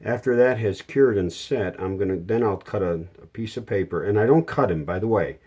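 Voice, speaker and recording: A deep, mellow-voiced man explaining hands-on work. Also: a little fast, husky. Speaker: radio repair hobbyist giving a tutorial. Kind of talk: casual hands-on how-to narration.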